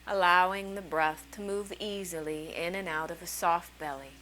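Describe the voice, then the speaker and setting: A woman leading a guided meditation as a teacher, speaking soothingly in short, unhurried phrases with long pauses between them. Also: fairly low pitch, steady tone, a bit bright, a little hiss or hum, poor audio. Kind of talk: guided meditation, slow calming instruction.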